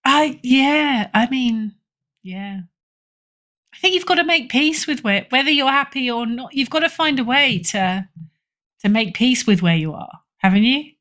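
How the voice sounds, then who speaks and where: A woman's voice: high-pitched, lively intonation, measured pace, a bit bright. A British woman chatting casually as a podcast guest, often correcting herself and repeating phrases for emphasis. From Britain. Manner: hesitant, self-correcting, emphatic repetition. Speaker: podcast guest, horror fiction editor. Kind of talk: casual interview chat, recommending stories.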